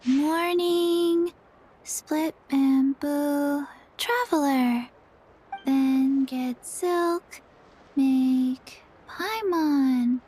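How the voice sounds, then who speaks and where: A woman voicing a small, forgetful undead girl in a video game, speaking in a halting, earnest, deadpan childlike way. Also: high-pitched, poor audio. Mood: halting, blank. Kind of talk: English video game voice acting. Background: game music.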